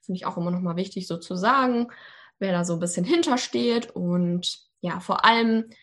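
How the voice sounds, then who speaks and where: A woman's voice: fairly low pitch, moderate pace, fairly bright tone. A nineteen-year-old woman from Hamburg, a student joining a podcast remotely, talking chattily with frequent filler sounds. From Hamburg, Germany. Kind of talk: casual podcast talk. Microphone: remote call.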